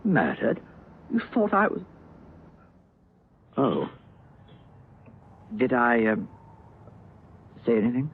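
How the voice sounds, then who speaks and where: A man's voice: medium pitch, wildly swooping pitch, takes it slowly, pauses often, slight background noise, heavily acted. A man acting a fussy, secretive, murderous British doctor in an old-time radio drama, hesitant and muttering. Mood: flustered, genial. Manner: hesitant, muttering, falsely genial. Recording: dull old radio recording with music.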